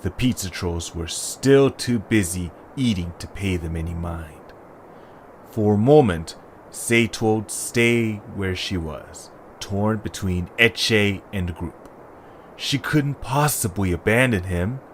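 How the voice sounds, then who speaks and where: A man's voice: lowish voice, takes it slowly, slight background noise. A man narrating a scripted story for an audio drama.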